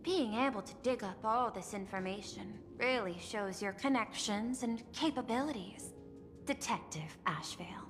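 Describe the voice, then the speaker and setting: An actress playing a coolly teasing, calculating artificial intelligence chief executive in a video game, with formal, measured, detached delivery. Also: female voice, a little high, natural voice. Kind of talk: scripted video game voice acting. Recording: long reverb, music behind. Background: music.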